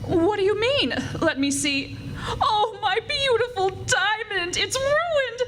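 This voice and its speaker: A woman's voice: very high-pitched, lively intonation, slow pace, a bit bright, exaggerated voice. A woman acting a haughty, flustered society matron in an old-time radio comedy, with affected, grandly indignant speech. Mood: distressed, indignant. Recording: live stage recording, faint background noise.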